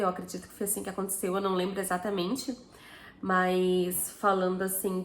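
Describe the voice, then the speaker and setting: A woman's voice: medium pitch, roomy sound. A Brazilian woman in her thirties with a Brazilian Portuguese accent, telling a personal story to camera in a chatty, casual way, often ending with little tag questions. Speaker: vlogger.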